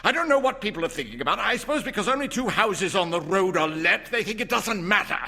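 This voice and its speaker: A man voicing an excitable, querulous elderly father in a story reading, with blustering, animated exclamations. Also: sits high, quick pace, pitched above the performer's own voice, exaggerated voice. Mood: exasperated. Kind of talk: dramatized short-story reading. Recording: reverberant hall.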